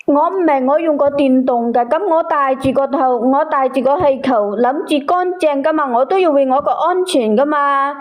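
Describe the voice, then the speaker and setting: A woman caller, explaining herself defensively in halting, repetitive phrases. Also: sits high. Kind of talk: casual unscripted explaining.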